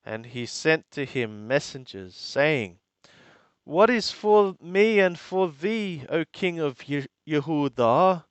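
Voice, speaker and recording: A man reading scripture aloud and translating it phrase by phrase in a deliberate, halting manner. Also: fairly high pitch, lively intonation, clear, resonant tone, no room sound. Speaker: Bible reader and translator.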